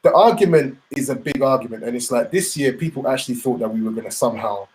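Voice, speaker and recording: A man co-hosting a casual discussion podcast, speaking in an animated, emphatic way with slang. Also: mid-range voice, quick pace. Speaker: podcast co-host.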